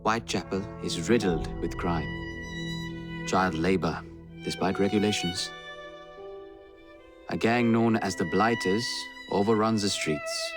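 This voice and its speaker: An earnest assassin guide, a man of polite and measured speech. His voice sits fairly low and sounds formal and grave. Prominent orchestral music plays behind him. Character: earnest, courteous male assassin mentor and informant. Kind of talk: video game cutscene acting.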